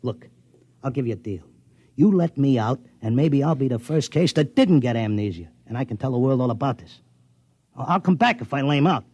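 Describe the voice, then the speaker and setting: An actor playing a brash, slangy racetrack tipster who also narrates, in a 1950s radio drama. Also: male voice, medium pitch, animated, fairly quick, darkish tone, breathy edge, no room sound. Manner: streetwise and slangy. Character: brash fast-talking racetrack tipster, middle-aged man. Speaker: narrator and lead.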